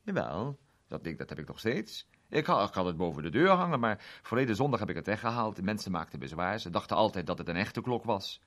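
A man's voice: fairly low pitch, very animated, fairly quick, a bit bright. A man voicing a chatty, plain-spoken male garage owner who rambles with fillers. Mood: friendly. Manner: rambling, homely, full of fillers.

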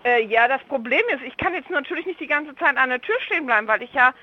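High woman's voice over a dull phone. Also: animated, fast talker. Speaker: fast-food restaurant employee. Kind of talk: unscripted phone conversation. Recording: dull lo-fi telephone line.

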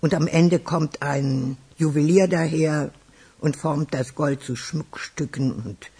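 A woman playing a chatty, proud retired music teacher in a radio drama, teasing and theatrical, with a husky older voice. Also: low voice, warm tone, exaggerated voice. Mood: proud, wistful. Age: 60s or older. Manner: teasing, drawn-out, theatrical delivery. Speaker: actor in a radio crime drama.

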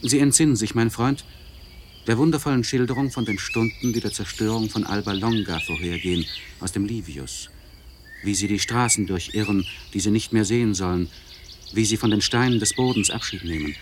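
An actor playing an anguished, articulate nobleman in a literary radio play monologue, speaking in a solemn, searching manner with deliberate phrasing and elevated diction. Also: fairly low pitch, background noise, lightly acted. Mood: impassioned. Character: anguished, articulate nobleman confiding by letter to a friend.

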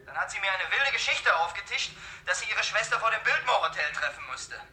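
A man's voice: sits high, big pitch swings, a little fast, bright, forceful tone, echoey room, exaggerated voice. An actor playing a nervous male witness who defensively recounts events in a radio play.

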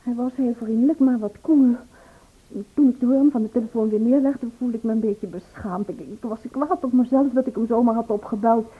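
A woman's voice: fairly high pitch, fairly quick, husky, phone-quality sound. An actress playing a nervous, evasive woman, hesitant and defensive, in an old radio play recording. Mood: hesitant confession. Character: Yves, nervous, evasive woman confessing reluctantly.

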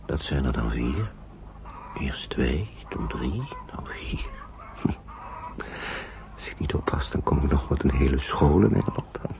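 A man's voice: a bass voice, very animated, measured pace, gravelly, pauses often, in a whisper, exaggerated voice. A man playing a kindly, worried uncle looking after refugee children, in a radio drama. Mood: worried, musing. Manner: gentle, reassuring, patient with children. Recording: dull, noisy old radio play recording.

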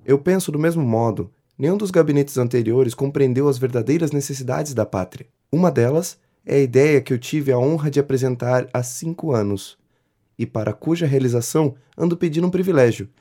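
An actor playing a pompous, flattering inventor who petitions a politician, grandiloquent and effusive in a period comedy audio drama. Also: male voice, medium pitch, brisk, close to an everyday voice. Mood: earnest, self-important. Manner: grandiloquent, effusive, theatrically indignant. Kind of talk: audio drama acting of a 19th-century comedy.

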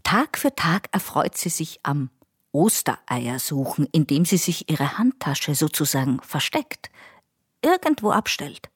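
Radio play role: gossipy, doting Austrian nurse, lowish husky voice, monologue delivery. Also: female voice, big pitch swings, a little slow, no echo, clean studio sound, exaggerated voice. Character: chatty care-home nurse showing off her elderly residents.

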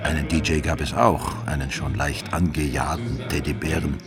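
A man's voice: extremely low pitch, moderate pace. An actor playing a wry narrator of a comic radio play, dryly ironic in his delivery. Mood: dry irony. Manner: dry, ironic. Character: wry male narrator of a comic crime story. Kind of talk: scripted radio drama narration. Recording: music behind the voice.

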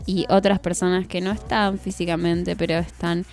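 A woman's voice: fairly low pitch, level tone, moderate pace, darkish tone. An Argentine woman in her twenties hosting a radio show, talking conversationally with poetic flourishes. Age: 20s. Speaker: radio host. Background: music.

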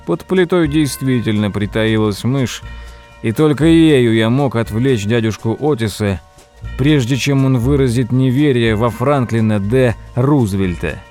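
Actor as a storytelling nephew, medium-pitched. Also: male voice, measured pace, darkish tone, faint music behind, natural voice. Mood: measured, storytelling. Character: storytelling nephew, narrating his uncle's oddities, nervous. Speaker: narrator. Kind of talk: dramatised story narration.

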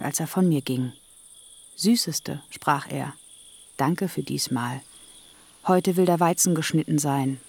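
Rachel, a pleading barren sister. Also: female voice, low voice, creaky, a little mellow, breathy edge, exaggerated voice. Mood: wistful. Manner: earnest, pleading. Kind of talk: radio play acting.

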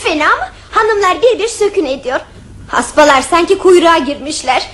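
A cheeky little sibling. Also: female voice, very high-pitched, animated, moderate pace, a bit bright. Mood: playful. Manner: teasing, playful. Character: cheeky younger sibling, mischievous. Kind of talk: radio drama acting.

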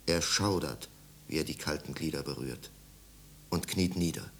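An actor as the solemn narrator of a 1950s German radio drama, telling a tragic literary tale in measured, dramatic phrases. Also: male voice, extremely low pitch, a bit bright, slight background noise. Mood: grave, measured.

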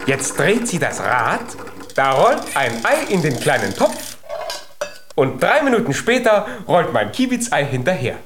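A tiny but determined giant boy in a children's radio play. His voice is fairly high and swings expressively as he insists on his clever ideas, with some noise behind him. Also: sing-song, unhurried. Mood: excited, proud. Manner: eager, insistent. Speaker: radio drama actor.

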